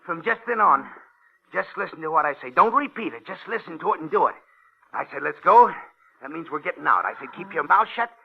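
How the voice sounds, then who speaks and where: A cruel gangster in an old radio drama. His high voice swings widely as he mocks and threatens, repeating his taunts over a dull, noisy recording. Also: big pitch swings, fairly quick, creaky. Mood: cold menace. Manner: mocking, needling, repeats himself tauntingly. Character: sadistic male gangster escapee, taunting and menacing. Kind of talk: 1950s radio drama acting.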